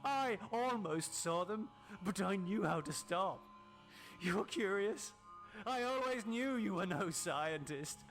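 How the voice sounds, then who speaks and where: A crazed, hateful male scientist from a horror story. He speaks slowly in a high, strained voice, savouring every taunt with theatrical menace. Music plays behind him. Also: expressive, exaggerated voice. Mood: gloating menace. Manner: theatrical, taunting, drawn-out words, shouted outbursts. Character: mad, gloating male scientist raving in hatred. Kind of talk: dramatic horror audiobook character reading.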